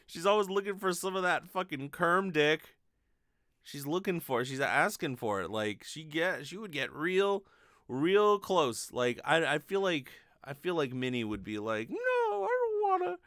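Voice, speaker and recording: A man's voice: a little high, unhurried, dry recording, clean studio sound. A man in his late twenties hosting a comedy podcast, talking in a casual, rambling, foul-mouthed way. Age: late 20s. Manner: profane, rambling, animated. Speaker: podcast host. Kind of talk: casual comedic monologue, answering listener mail. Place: small bedroom, indoors. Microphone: close condenser microphone on desk with pop filter.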